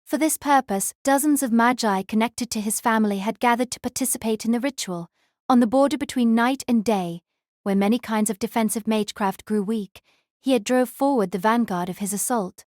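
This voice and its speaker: A woman's voice: a little high, brisk, darkish tone, no room sound, clean studio sound. A woman reading scripted story narration as an audiobook narrator.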